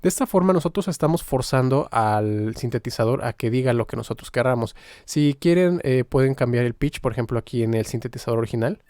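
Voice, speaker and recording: A man giving an informal, explanatory software tutorial, pausing now and then as he demonstrates. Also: mid-range voice, lively intonation, no room sound, studio quality. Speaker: music software tutorial instructor.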